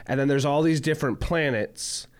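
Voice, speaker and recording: A man's voice: fairly high pitch, moderate pace. A man in his twenties co-hosting a casual podcast chat, rambling through stories with lots of "like" fillers. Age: 20s. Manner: rambling, heavy use of "like" fillers. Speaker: podcast co-host.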